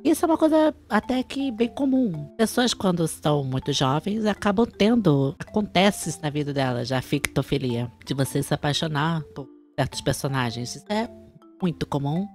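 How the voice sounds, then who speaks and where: A woman's voice: low-pitched, lively intonation, normal speed, a little mellow. A young woman with a Brazilian accent hosting a casual explanatory chat, with music under her voice. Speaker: video host.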